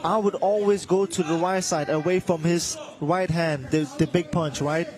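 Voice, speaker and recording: A man's voice: on the high side, fairly even intonation, moderate pace. A man whose first language is Armenian, a boxer, giving short, dry-humored remarks on a live fight. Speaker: guest boxing analyst, boxer. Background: music and crowd noise.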